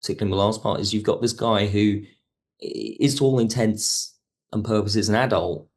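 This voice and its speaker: A man's voice: fairly low pitch, steady tone, a little fast. A man talking casually as a podcast guest, a writer and director who is enthusiastic and reflective about his work. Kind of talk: casual interview chat.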